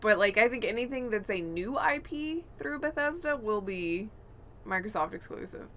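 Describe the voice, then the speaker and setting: A woman's voice: medium pitch, animated, normal speed, bright, forceful tone. A woman co-hosting a podcast, casually talking through gaming news. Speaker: podcast co-host.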